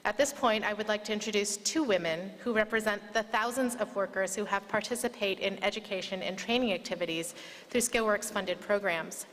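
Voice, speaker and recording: A woman's voice: mid-range voice, a bit bright. A woman introducing guests at an event in a formal, prepared speech. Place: large indoor hall, public event. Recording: echoey hall with long reverb.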